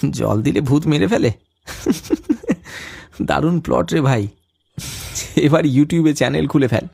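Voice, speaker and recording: A man's voice: on the high side, expressive, slow, creaky, long pauses, a little mellow, husky, dry recording, exaggerated voice. A man playing a sceptical, mocking young village man in a cartoon ghost story, teasing and dismissive. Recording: music behind the voice.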